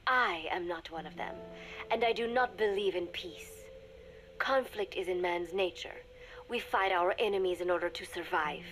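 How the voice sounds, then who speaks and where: An actress playing a young secret agent confiding in a recorded diary, speaking in measured, formal phrases that often drop to a murmur, wistful and resigned. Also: female voice, lowish voice, a bit bright, soft background music, lightly acted. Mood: somber, resigned. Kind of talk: scripted dramatic monologue, recorded diary.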